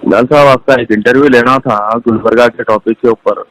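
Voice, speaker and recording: A man's voice: mid-range voice, steady tone, brisk, no room sound. A man calling as an interviewer on a recorded phone line, informal and pressing in his questions. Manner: pressing, challenging.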